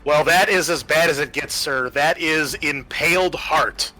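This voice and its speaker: A man's voice: on the high side, a little slow, sharp tone, soft background music, poor audio. A man acting as game master, explaining tabletop game rules step by step.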